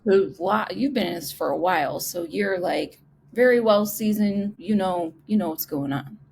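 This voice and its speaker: A woman's voice: mid-range voice, normal speed, vocal fry, darkish tone, a little hiss or hum. A woman hosting a podcast, talking in a chatty, rambling way and sounding nasal from a cold. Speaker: podcast host. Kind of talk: casual podcast interview.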